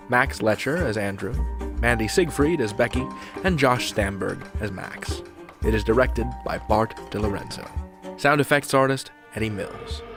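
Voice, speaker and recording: Guy hosting a podcast, voice on the low side, music playing. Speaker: podcast host and interviewer. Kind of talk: interview questions and scripted host narration.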